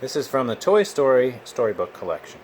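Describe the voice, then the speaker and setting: A man's voice: mid-range voice, sing-song, brisk, slight background noise. A man reading a children's storybook aloud expressively, as if for bedtime. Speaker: storybook reader, children's read-aloud host.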